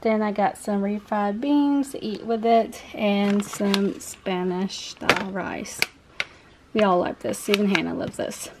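A woman's voice: medium pitch, steady tone, a little slow, background noise. A young woman chatting casually and unscripted in a rambling, self-correcting way as she shows products to a handheld camera in a home kitchen. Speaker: vlogger, parent showing a grocery haul.

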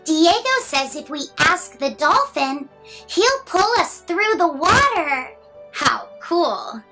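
A woman's voice: high-pitched, very animated, slow, bright, forceful tone, faint music behind. A woman in her thirties reading a picture book aloud to young children, playful and exaggerated, asking the listeners questions.

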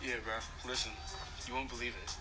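A man's voice: medium pitch, flat delivery, a little fast, over loud music. A young man with a slangy, insistent, repetitive way of talking, as if on a phone call. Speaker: prankster on a fake phone call. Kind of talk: staged casual phone conversation.

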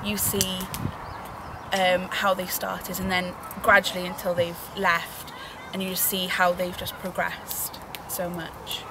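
A woman's voice: fairly low pitch, monotone, slow. An eighteen-year-old woman, talking cheerfully with some fillers about volunteering in an interview. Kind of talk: documentary interview. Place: outdoors by a wall. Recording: outdoor, loud ambient noise.

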